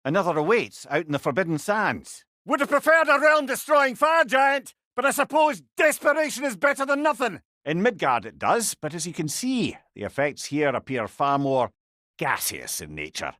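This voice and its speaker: Witty older Scottish advisor, talking severed head, animated, dry close sound, eloquent, video game dialogue. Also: male voice, on the high side, moderate pace, no room sound. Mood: wry, explanatory, then urgent, rallying. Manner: wry, eloquent, old-fashioned turns of phrase.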